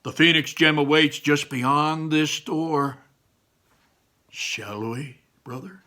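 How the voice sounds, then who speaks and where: A man's voice: fairly high pitch, slow, pushed and bright, voice pushed up. A man voicing a hearty young older brother in a storybook adventure, urgent and theatrical. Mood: grand.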